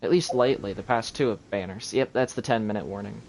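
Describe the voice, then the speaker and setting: A man's voice: fairly low pitch, fast talker, vocal fry, a little mellow. A man giving casual live commentary while streaming a video game. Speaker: game livestreamer. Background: video game music.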